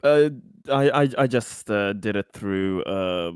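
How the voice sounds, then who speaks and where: Casting: male gamer, lowish clean voice, hesitant casual explainer, dry audio. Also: lively intonation, clear, resonant tone, dry recording. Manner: hesitant, halting. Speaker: tabletop game player. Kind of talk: casual explanation in game chat.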